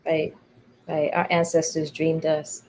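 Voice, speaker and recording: Reflective American woman, slightly low voice. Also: completely flat delivery, a little mellow, slight background noise. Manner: thoughtful, emphatic, pausing and repeating phrases. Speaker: interview guest, playwright. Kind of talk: reflective personal conversation.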